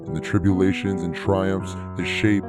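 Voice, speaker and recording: A man reading a scripted, measured podcast intro in a slow, solemn way. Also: low voice, fairly even intonation. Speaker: podcast host doing the show intro. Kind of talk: scripted narration over piano music. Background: loud piano music.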